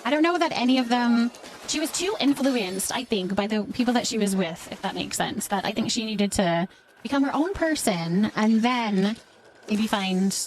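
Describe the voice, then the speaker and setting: Chatty higher-voiced woman live streamer. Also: expressive, moderate pace, slight background noise, poor audio. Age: middle-aged. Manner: chatty, informal, effusive thanks to viewers. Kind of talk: casual live stream chat, reading and answering viewer comments.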